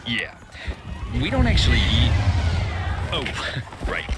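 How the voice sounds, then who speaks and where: An actor playing a breezy, wisecracking hero who shows a newcomer around in a game cutscene. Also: male voice, mid-range voice, huge pitch swings, takes it slowly. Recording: noisy game ambience.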